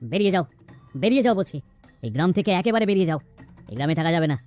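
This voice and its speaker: A man's voice: sits high, expressive, racing, a little mellow, soft background music. A man voicing a haughty, hostile villager in a cartoon, curt and scornful. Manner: curt, scornful, repetitive orders. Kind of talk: cartoon voice acting.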